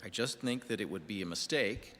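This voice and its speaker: An actor playing a measured senator who gives a careful, halting public statement in a video game. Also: male voice, fairly low pitch, bright, forceful tone, big-room sound, slight background noise.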